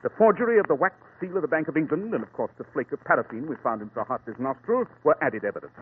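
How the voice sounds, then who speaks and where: An actor playing a confident male detective who explains his deductions crisply, in 1940s radio acting. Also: fairly high pitch, lively intonation, fast talker, slight background noise, lightly acted. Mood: explaining. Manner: crisp, deductive, self-assured. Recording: tinny old radio broadcast.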